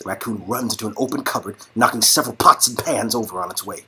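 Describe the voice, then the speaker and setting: A man with a husky, unpolished voice reads a story game aloud. He narrates the action, then slips into casual, joking asides to his co-reader. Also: fairly low pitch, quick pace, sharp tone. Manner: informal, jokey asides. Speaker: co-reader in a two-person game read-through. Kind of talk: narration of a visual novel mixed with casual banter.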